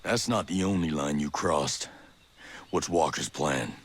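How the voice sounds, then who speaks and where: An actor playing a terse special forces soldier who asks short, clipped questions in a game cutscene. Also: male voice, a bass voice, a little slow, husky, heavily acted.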